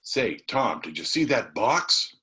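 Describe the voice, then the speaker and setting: A man's voice: mid-range voice, very animated, slow pace, pushed and bright, voice pushed up, exaggerated voice. A man voicing an anxious, curious rustic boy sidekick in a storybook, with wide-eyed folksy questioning. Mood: wondering, wary. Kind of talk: dramatised storybook reading.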